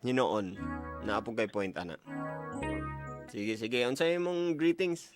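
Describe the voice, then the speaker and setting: A man's voice: medium pitch, sing-song, takes it slowly. A man with a Cebuano Filipino accent hosting a radio call-in, chatting with callers and prompting them with questions. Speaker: radio show host taking listener calls. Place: radio studio. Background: music bed.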